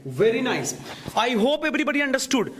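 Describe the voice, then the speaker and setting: A man with an Indian English accent, a teacher moderating a classroom debate, brisk and prompting, often repeating his points. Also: extremely high pitch, sing-song, fairly bright tone, slight background noise.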